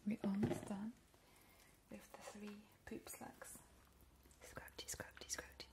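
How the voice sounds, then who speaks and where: Whispering, slow-talking vampire woman played in ASMR. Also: mid-range voice, little pitch movement. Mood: playful relish. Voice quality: breathy whisper. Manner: slow, soothing, hushed delivery with playful relish. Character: playful, ghoulish immortal female vampire baking gruesome treats. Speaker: ASMR host in character. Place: home kitchen, indoors. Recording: noticeable background hiss, soft clicks.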